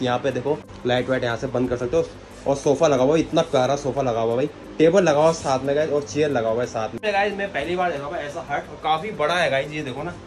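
Fast-talking man touring a cafe. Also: a little high, a little hiss or hum. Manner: chatty, says "guys" and "bhai" constantly. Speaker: vlogger. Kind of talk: casual travel vlog tour. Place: outdoors on a scooter and walking through a cafe garden. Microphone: handheld selfie camera.

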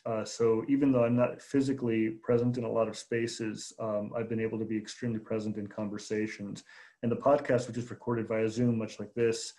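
Man, low flat voice, mellow tone, thoughtful, lots of ums, video call from home. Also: a little fast. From Chicago, USA. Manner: thoughtful, many fillers and restarts. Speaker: guest, newspaper opinion editor. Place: home room. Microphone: laptop on video call.

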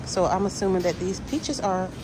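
A woman vlogger filming a grocery store walk-through, reading out each item and its price in a slow, deliberate way. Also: mid-range voice, noisy background. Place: inside a large supermarket.

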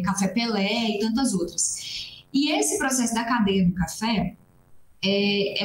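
A woman's voice: mid-range voice, slight background noise. A young Brazilian woman, a coffee farmer, earnestly explaining at length on a video call from a small room at home, with frequent restarts. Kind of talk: explanatory interview talk. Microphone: laptop webcam on a video call. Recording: roomy video-call audio.

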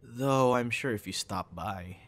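A man playing a cute, teasing young boyfriend with a hidden sinister side in an ASMR audio roleplay, soft-spoken and casual. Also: mid-range voice, measured pace. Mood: casual, wry.

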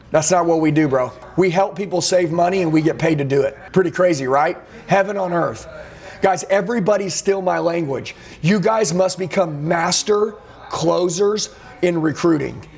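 A middle-aged man drives a sales training session with blunt, preachy intensity. His voice sits fairly high for a man. He keeps slipping into role-played pitches to show how it's done. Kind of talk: motivational sales coaching. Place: dark studio office with neon sign.

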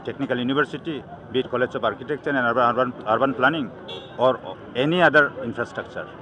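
A man's voice: medium pitch, fast. A middle-aged man with a Northeast Indian accent, speaking as a political candidate in an argumentative, emphatic press interview. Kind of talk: press interview, mixing in English. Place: outdoors at an evening gathering. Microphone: handheld news microphones held close. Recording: busy outdoor crowd behind voice. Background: crowd noise.

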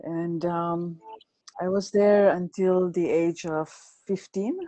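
A middle-aged woman raised in Rwanda with French as her first language, talking softly and hesitantly as a guest on a video call. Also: on the low side, fairly even intonation, slow, highs cut off. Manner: soft-spoken, hesitant with many ums. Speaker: guest, visual artist. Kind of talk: casual video call interview. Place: home room indoors. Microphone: earphones on video call.

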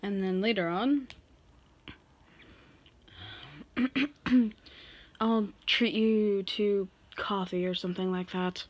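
A woman playing a reserved office boss who is guarded but softening, speaking haltingly in an intimate ASMR roleplay. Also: mid-range voice, steady tone. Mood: hesitant, softening. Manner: halting, measured delivery with sighs.

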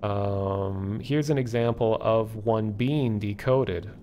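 A man hosting a livestream, explaining things casually and off the cuff in a halting, thinking-aloud way. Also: lowish voice, dark tone, a little hiss or hum. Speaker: livestream host. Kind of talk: casual live explainer, unscripted.

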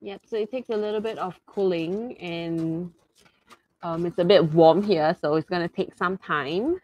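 A woman's voice: on the low side, unhurried, darkish tone. A woman co-hosting from behind the camera in a kitchen, upbeat and giggly, relaying viewer questions. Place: home kitchen, indoors. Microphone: camera mic, behind the camera.

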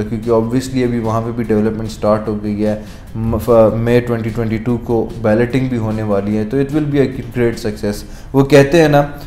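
A man's voice: medium pitch, mellow, a little hiss or hum. A man gives a casual update to camera from his office, mixing English phrases and fillers into his talk. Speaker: real estate presenter. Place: office, indoors. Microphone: lapel mic. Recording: roomy office echo, faint music.

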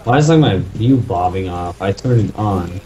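A man's voice: low-pitched, lively intonation, moderate pace, mellow, highs cut off. A young man chatting casually while playing a game with friends, joking crudely and swearing a lot. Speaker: gamer recording with friends. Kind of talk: casual gaming chat.

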